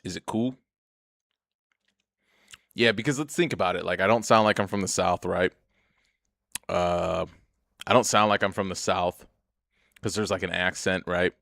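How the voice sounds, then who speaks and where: A man's voice: deep voice, steady tone, moderate pace, gravelly, pauses often, a bit bright, no echo, clean studio sound. A young man streaming a game, rambling and swearing as he chats to viewers about himself. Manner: rambling, profane, chatty, often laughs. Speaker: gaming streamer. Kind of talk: casual gameplay commentary.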